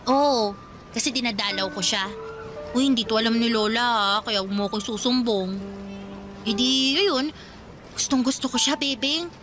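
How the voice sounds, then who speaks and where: Woman playing a high-voiced, confiding older cousin. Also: normal speed, long pauses, music in the background, close to an everyday voice. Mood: giddy, confiding. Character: Alicia, older girl cousin, sentimental, confiding and encouraging. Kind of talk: Tagalog radio drama acting.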